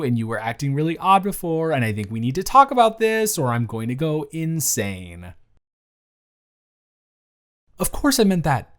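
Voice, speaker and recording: A young man playing a teasing, affectionate best friend of someone's brother in a casual, slangy romantic roleplay audio drama. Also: a little high. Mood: flustered.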